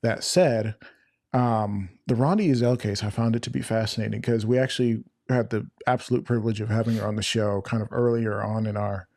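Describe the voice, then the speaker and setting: A man hosting a podcast, talking in a casual, rambling way with a flat voice he jokes sounds sarcastic. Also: lowish voice, brisk, vocal fry, no room sound, studio quality. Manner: flat-voiced, rambling and chatty, self-described. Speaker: podcast host. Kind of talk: casual interview chat.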